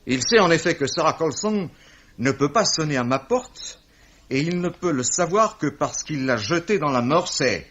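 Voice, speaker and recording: An actor playing a shrewd, authoritative police commissioner, speaking formally and courteously in a 1950s-style French radio mystery. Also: male voice, fairly high pitch, lively intonation, fairly bright tone. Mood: stern, triumphant. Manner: formal, measured, theatrical. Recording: dull vintage radio recording.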